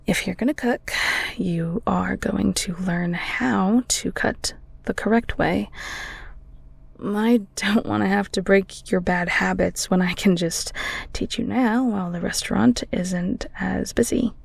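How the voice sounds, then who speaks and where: Woman playing a husky, creaky-voiced flirty ghoul chef. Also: mid-range voice, no room sound, exaggerated voice. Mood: teasing, bossy. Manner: soft, breathy, halting, teasing. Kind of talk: intimate audio roleplay, spoken to the listener.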